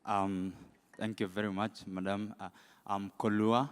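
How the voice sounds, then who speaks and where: A Kenyan-accented man speaks off the cuff at a public event in a smooth, resonant voice. He goes slowly and haltingly, and the big hall echoes around him. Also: on the low side, level tone. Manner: halting, hesitant. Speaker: youth director, summit delegate. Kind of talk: impromptu public remarks. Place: large indoor conference hall. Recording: echoey hall, long reverb.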